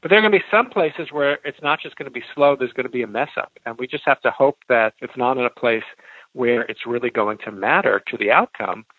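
An American man with a mid-pitched voice gives explanatory answers as an expert interview guest. The sound is dry and a little dull, like a lo-fi radio feed. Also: a little fast, husky, no echo. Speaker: expert guest, law professor and author.

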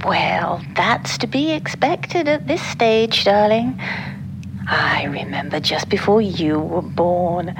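An actress playing a concerned middle-aged mother in an audio drama, gently and breathily reassuring her grown daughter. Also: lowish voice, lively intonation, takes it slowly. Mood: concerned, soothing. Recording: clear background noise, ambience.

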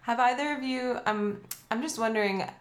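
A woman's voice: on the high side, moderate pace. A woman hosting a podcast, curious and asking follow-up questions. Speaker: podcast host. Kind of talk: casual interview chat. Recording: roomy echo.

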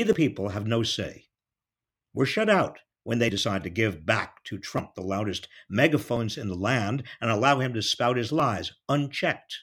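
Husky man, emphatic and widely varied intonation. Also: mid-range voice. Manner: emphatic, deliberate phrasing. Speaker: political commentator. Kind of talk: scripted political commentary addressed to listeners.

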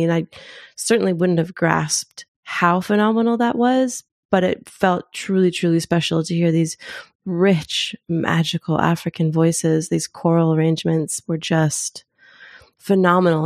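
A middle-aged woman with a fairly low voice and a noticeable creak. She tells personal stories in a thoughtful, expressive, informal way, as a guest in conversation. The sound is dry and close. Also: no room sound. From born in Zimbabwe. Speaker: interview guest. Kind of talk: reflective personal storytelling in casual conversation.

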